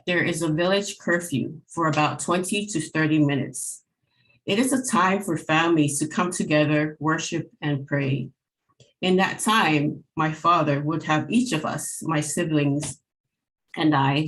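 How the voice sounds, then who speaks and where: A woman from American Samoa with a Samoan accent, Samoan her first language, reading a prepared webinar statement in a measured, deliberate way. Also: sits low, rough recording. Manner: measured, deliberate, pausing between listed words. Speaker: federal agency employee.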